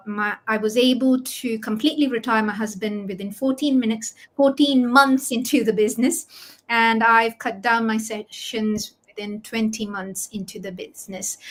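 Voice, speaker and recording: A woman's voice: on the high side, fairly bright tone. A middle-aged woman hosting a live promotional talk on an online video call. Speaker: host, online business coach.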